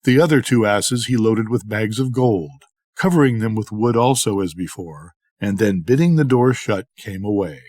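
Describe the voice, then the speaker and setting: A man's voice: on the low side, moderate pace, hardly any pauses, a little mellow, no room sound, studio quality. An older man reading a bedtime story aloud as a storyteller.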